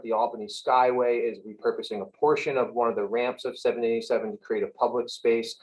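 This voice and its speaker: A man speaking for a community group, earnest and restarting words as he presents. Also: medium pitch. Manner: earnest, repeats words while searching. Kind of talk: presentation on a video call. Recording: dull lo-fi audio.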